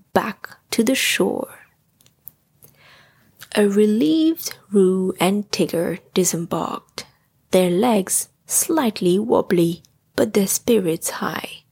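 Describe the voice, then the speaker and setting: Slow, husky woman telling a bedtime story. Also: mid-range voice, a little mellow. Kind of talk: scripted bedtime story narration.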